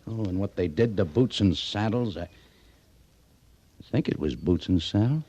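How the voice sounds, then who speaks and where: An actor playing a gruff American army officer in an old-time radio Western, speaking in a halting, stiff way. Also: male voice, low-pitched, expressive, warm tone, dry recording. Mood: vexed.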